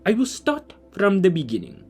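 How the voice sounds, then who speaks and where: A man acts out a kindly, scholarly gentleman in an audio drama. His voice sits a little high and swings expressively as he explains things in formal, wordy sentences. Music plays clearly behind him. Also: wildly swooping pitch, normal speed. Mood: earnest, explanatory. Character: kindly, learned, verbose gentleman leading a group of children. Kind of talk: audio drama dialogue and narration.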